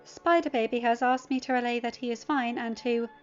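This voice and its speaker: Dutiful computer assistant done by a woman, formal and precise, slightly raised pitch, lo-fi storybook recording. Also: steady tone, fairly quick, soft background music, lightly acted. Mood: matter-of-fact. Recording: dull lo-fi recording.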